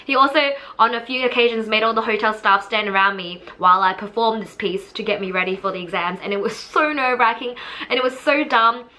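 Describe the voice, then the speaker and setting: A woman's voice: on the high side, fairly quick, sharp tone. A young woman, casually telling the story of her audition to camera in a chatty, candid way, with many fillers. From Australia.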